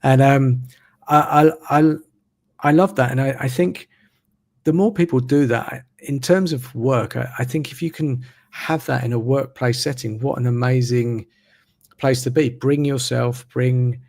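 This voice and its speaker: A man, talking slowly and reflectively as a podcast host on a video call. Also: mid-range voice, creaky. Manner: slow, even, reflective delivery. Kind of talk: casual interview conversation. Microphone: video call mic.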